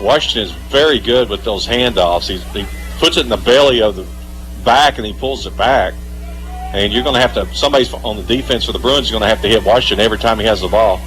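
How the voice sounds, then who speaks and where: A man's voice: lowish voice, fast, fairly bright tone, soft background music, rough recording. A man giving live radio analysis of a football game as the color commentator.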